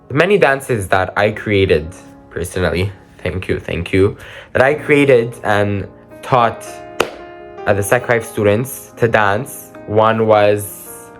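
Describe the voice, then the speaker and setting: Hesitant teenage boy, native Armenian speaker. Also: medium pitch. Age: late teens. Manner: rambling, hesitant, with frequent ums and restarts. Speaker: graduating student. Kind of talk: personal farewell reflection.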